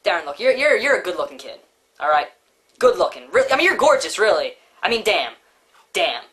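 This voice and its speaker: A woman voicing a naive, earnest schoolboy puppet, a pushy friend who talks haltingly and repeats himself in comic dialogue. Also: medium pitch, very animated, a bit bright. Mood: earnest coaxing. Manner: halting, repetitive pep talk and baffled questions.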